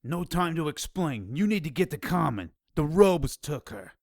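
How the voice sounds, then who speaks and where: High, animated streetwise informant. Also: male voice, normal speed, no room sound, clean studio sound. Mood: tense warning. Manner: urgent, slangy. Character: agitated, streetwise male informant with underworld ties. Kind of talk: audio drama dialogue.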